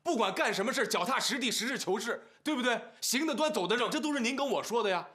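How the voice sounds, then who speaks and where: A man's voice: very high voice, expressive, quick pace, bright, forceful tone, full character voice. An actor playing an exasperated, long-suffering younger brother in his thirties in a TV family drama, pleading in rhetorical questions. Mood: reproachful, dismayed. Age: 30s. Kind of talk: naturalistic TV drama acting. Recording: background music under dialogue.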